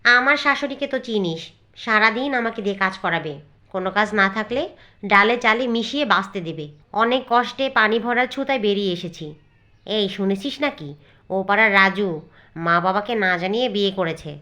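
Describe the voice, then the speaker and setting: A woman voicing a gossipy, disapproving young village housewife in a cartoon, with an animated, scandalised manner. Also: medium pitch, brisk, lightly acted. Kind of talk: cartoon acting.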